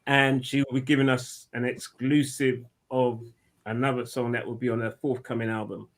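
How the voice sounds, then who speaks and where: A man's voice: medium pitch, level tone, normal speed. A man co-hosting a podcast, announcing upcoming performances upbeat. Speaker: podcast co-host. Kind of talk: show announcements.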